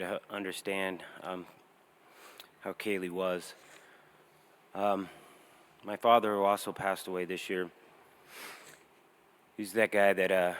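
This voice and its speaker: A middle-aged man telling a family story at a memorial service, in a plain, halting way as a father remembering his daughter. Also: low voice, fairly bright tone.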